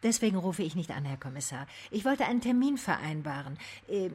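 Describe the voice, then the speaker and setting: An actress playing a sceptical private detective in a radio drama, speaking in a probing, controlled and pointed way. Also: female voice, on the low side, sing-song, a little fast, darkish tone, slightly rough. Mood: probing, polite. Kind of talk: naturalistic radio drama dialogue.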